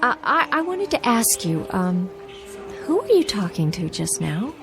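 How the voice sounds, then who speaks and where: Anxious, animated young woman, bewildered. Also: mid-range voice, measured pace, creaky, over music. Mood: cautiously probing. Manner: hesitant, insistent, stammering. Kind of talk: radio drama acting.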